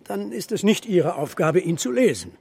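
An actor playing a stubborn, grumpy old steppe shepherd and grandfather in a children's radio drama, gruff and terse, with a husky voice. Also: high-pitched, very animated, moderate pace, a little mellow, slight background noise, exaggerated voice. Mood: gruff, defiant.

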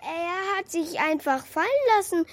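An actor playing a lively, naive and cheeky little wooden puppet boy in a children's radio play, with a childlike, questioning way of talking. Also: very high-pitched, moderate pace. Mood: curious, hesitant.

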